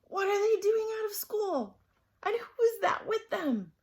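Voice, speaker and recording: A woman acts out a storybook schoolteacher, a woman with a scratchy voice. She speaks high and astonished, questioning what she sees. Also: very animated, measured pace, full character voice. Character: astonished female schoolteacher with a sore, scratchy throat.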